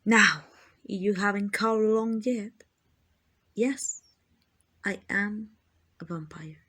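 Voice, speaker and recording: Woman acting a slow-talking, teasing vampire villainess. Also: medium pitch, bright, forceful tone, husky, full character voice. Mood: condescending, teasing. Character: arrogant, teasing, possessive female vampire, predatory and condescending. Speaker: amateur voice actor. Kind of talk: ASMR roleplay acting addressed to the listener.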